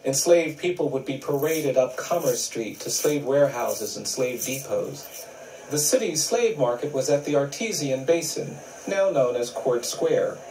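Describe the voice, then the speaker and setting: A man reading a scripted documentary narration in a grave, measured way. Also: mid-range voice, animated, darkish tone. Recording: roomy, distant video playback.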